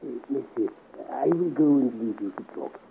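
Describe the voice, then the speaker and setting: Gravelly, courteous sickbed attendant. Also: male voice, mid-range voice, lively intonation, moderate pace. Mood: discreet, cautioning. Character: grave, courteous Frenchman tending a dying patient. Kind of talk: 1950s radio serial acting. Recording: scratchy, tinny 1950s radio recording.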